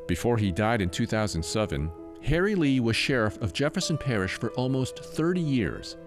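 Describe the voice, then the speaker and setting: A man's voice: mid-range voice, sing-song, normal speed, over music. A man with an American accent reading scripted news narration as a reporter.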